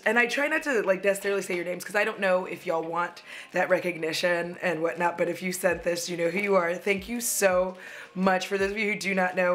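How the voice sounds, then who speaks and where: A young woman with a drawl talks through a makeup session at home, rambling happily and breaking into laughs. Her voice is a little hoarse, as she is losing it, and she keeps up a self-deprecating, casual flow. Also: lowish voice, a bit bright. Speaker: beauty vlogger. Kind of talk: casual chat while doing makeup. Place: at home, indoors.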